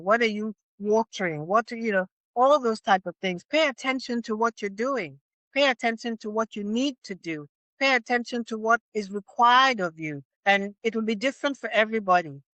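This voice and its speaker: A woman's voice: a little high, a bit bright, no room sound. Older woman speaking reflectively, dry close sound. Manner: contemplative, with repetition for emphasis. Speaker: interview guest, elder and spiritual healer. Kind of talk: reflective interview conversation.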